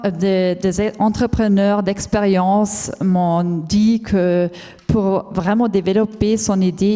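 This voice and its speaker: A woman who is not a native French speaker tells her own story as a conference talk, careful and halting, with many fillers and restarts. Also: mid-range voice, mellow. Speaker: entrepreneur giving a conference talk. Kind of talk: personal story told as a talk. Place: large lecture hall. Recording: long reverb of a large hall.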